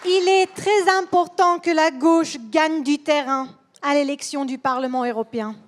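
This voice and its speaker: A woman with a Swedish accent, speaking in a second language and giving a political speech at a rally, careful and deliberate, repeating words as she goes. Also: high voice, steady tone, some chatter behind. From Sweden. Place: rally hall.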